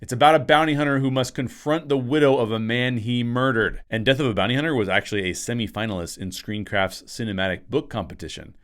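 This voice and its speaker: Energetic man explaining to camera. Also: mid-range voice, fast, few pauses. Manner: energetic, gestures a lot with both hands. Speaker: writer and channel host. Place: small home studio room with framed posters. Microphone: desk microphone on a stand in front of him.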